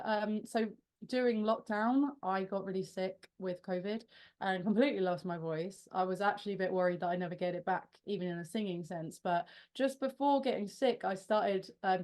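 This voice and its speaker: A young woman fronting a rock band talks through interview questions in a loose, chatty way. She often trips over her own words, restarts her sentences and laughs at herself. She gets animated when an answer excites her. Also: mid-range voice.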